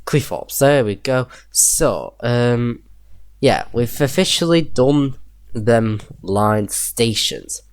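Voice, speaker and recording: Slow, muttering man. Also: medium pitch, lively intonation, clear, resonant tone. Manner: muttering to himself, checking things aloud. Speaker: gaming video creator. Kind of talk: casual commentary while playing a rail sim.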